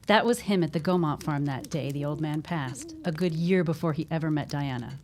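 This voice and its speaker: A woman's voice: deep voice, brisk, a little mellow, close to an everyday voice. An actress playing a gossipy, suspicious neighbour in a period audio drama, confiding and matter-of-fact as she talks. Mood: insistent, knowing.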